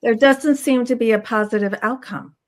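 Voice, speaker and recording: A woman's voice: on the high side, moderate pace. A woman hosting a podcast interview in a casual, conversational style.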